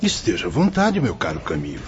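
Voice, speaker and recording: Male actor as wise fatherly spirit teacher, animated melodic delivery, formal oratory, radio drama, dull recording, light music behind. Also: low-pitched, brisk, a little hiss or hum. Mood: patient, explaining. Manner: solemn, didactic, formal oratory. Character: wise, fatherly male spirit mentor explaining afterlife rules. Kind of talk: radio soap opera acting.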